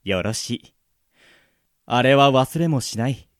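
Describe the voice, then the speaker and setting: A man's voice: medium pitch, animated, takes it slowly, dry recording, studio quality. Man acting pompous, swooping legendary love evangelist. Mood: boastful. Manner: grandiose, theatrical, self-satisfied. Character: pompous, self-adoring male legendary love evangelist of the trains. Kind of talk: comic amateur radio drama acting.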